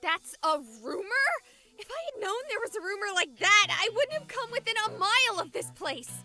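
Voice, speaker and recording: A woman's voice: extremely high pitch, expressive, moderate pace, pushed and bright, soft background music, full character voice. A young woman playing a jittery livestreamer in a visual novel game, with a dramatic, exasperated delivery. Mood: wry, anxious. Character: jittery young female livestreamer exploring an abandoned hospital.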